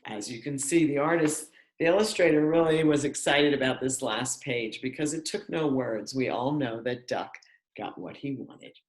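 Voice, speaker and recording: An older woman reading a story aloud to children with deliberate, expressive delivery. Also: very deep voice. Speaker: school educator reading to pupils. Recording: echoey room.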